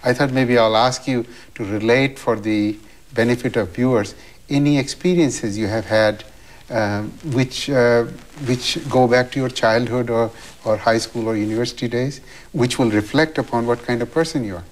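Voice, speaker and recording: A man's voice: medium pitch, steady tone. Courteous male interviewer. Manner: courteous, halting with fillers. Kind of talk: interview questions.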